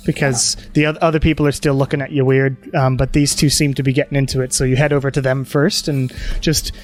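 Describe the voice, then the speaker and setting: A man's voice: medium pitch, level tone, quick pace. A man running a tabletop game as game master, narrating loosely with many fillers. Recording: faint background music.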